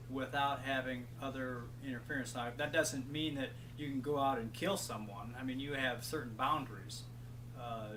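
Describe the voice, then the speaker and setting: A man in his late fifties explains his views in a medium-pitched voice. He speaks haltingly and with measure, as in an informal interview. A clear hum and noise sit behind him. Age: late 50s.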